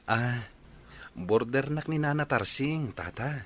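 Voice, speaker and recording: A man's voice: medium pitch, lively intonation, slow, fairly bright tone. A man playing a polite, easygoing young village suitor in a radio comedy drama. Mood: polite, bashful.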